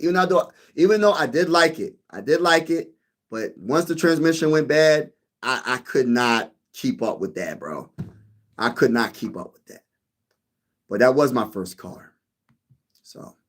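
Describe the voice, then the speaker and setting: A man hosting a sports channel in a casual live-stream chat, rambling, repeating himself and calling listeners "bro.". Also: fairly high pitch. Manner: rambling, repeats phrases, says "bro" a lot. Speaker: YouTube sports channel host.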